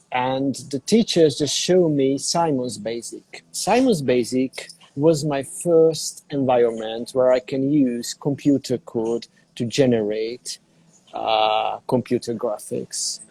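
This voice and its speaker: Slow-talking Hungarian man, mellow tone. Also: mid-range voice, no room sound, poor audio. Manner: unhurried, searching for words in a second language. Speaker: interview guest, digital artist. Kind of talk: casual interview on a call. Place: cafe in a small town.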